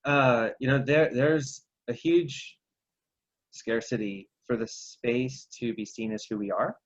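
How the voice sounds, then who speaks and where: A reflective man from Texas tells his story as a podcast guest, often restarting his sentences. His voice sits at a middle pitch, and the recording sounds slightly dull and lo-fi. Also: fairly even intonation, takes it slowly. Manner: reflective, many restarts and self-corrections. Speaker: guest entrepreneur and coach. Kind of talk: casual interview storytelling.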